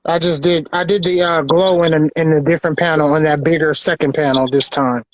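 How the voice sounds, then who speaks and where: American male collector, fairly high-pitched, chatty and informal, doubles back on phrases. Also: flat delivery, fairly quick, poor audio. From Los Angeles, United States. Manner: repeats phrases, informal slang. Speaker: sneaker collector and designer presenting his pairs. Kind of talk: casual show-and-tell chat.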